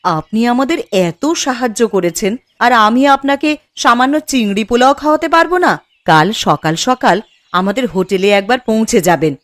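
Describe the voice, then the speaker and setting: A woman's voice: on the high side, lively intonation, brisk, no room sound, close to an everyday voice. A woman playing a resolute, wronged village widow in a cartoon moral story, earnest and emphatic. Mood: warm, grateful.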